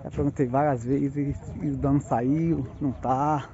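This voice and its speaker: A man's voice: medium pitch, steady tone, measured pace, warm tone, slight background noise. A man from Northeast Brazil with a Pernambuco accent, filming as he walks through outdoor cattle pens, chatting to viewers and calling out prices. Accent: Pernambuco Portuguese. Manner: chatty, calls out prices to viewers and greets sellers. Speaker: livestock market vlogger. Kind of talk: casual walk-through narration. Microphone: phone camera held while walking. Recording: dull phone audio with crowd behind. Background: crowd chatter and cattle.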